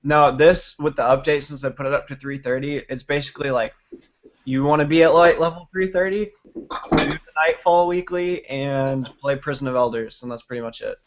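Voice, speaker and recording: A man's voice: fairly high pitch, normal speed. Laid-back Texan man, lo-fi stream. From Texas. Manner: laid-back, rambling, slangy. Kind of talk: casual gaming chat. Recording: dull lo-fi stream audio with noise.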